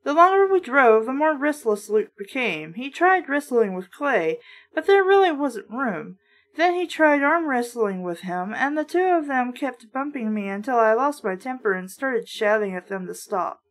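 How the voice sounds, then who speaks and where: A woman's voice: a little high, lively intonation, moderate pace. A woman hosting a casual book read-aloud, breaking off into sarcastic running commentary. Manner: sarcastic asides. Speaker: host of a book review series.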